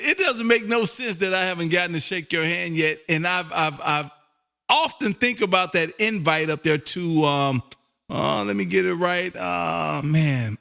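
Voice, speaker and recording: A high-voiced American man works the ham radio as host. He is loud and chummy, talks in a folksy, casual way and peppers his sentences with "man". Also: unhurried, gravelly. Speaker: amateur radio operator and livestream host.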